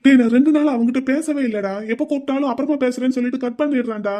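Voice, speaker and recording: A man's voice: extremely high pitch, very fast, mellow. A man voicing a pleading, defensive brother-in-law. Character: pleading brother-in-law caught in a family quarrel.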